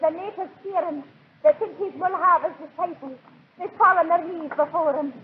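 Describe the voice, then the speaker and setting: An actress playing the frightened, bitter wife of an evil ruler in melodramatic 1940s radio style. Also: female voice, extremely high pitch, moderate pace. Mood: bitter, fearful. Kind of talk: 1940s radio drama acting. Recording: tinny 1940s radio recording, faint noise.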